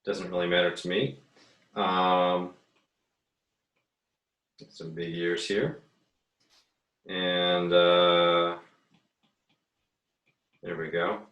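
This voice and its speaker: Deep voiced guy doing a casual art lesson, kinda hesitant thinking out loud, echoey room and a bit far from the mic. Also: level tone, extremely slow, long pauses, muffled recording. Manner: easygoing, hesitant, thinking aloud while drawing. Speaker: art teacher giving an assignment demo. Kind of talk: casual screen-recorded drawing tutorial. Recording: roomy, echoey, distant mic.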